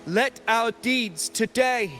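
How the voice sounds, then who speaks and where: Young male gladiator champion: high voice, slow formal oratory, noble, music behind. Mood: rousing. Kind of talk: video game character acting.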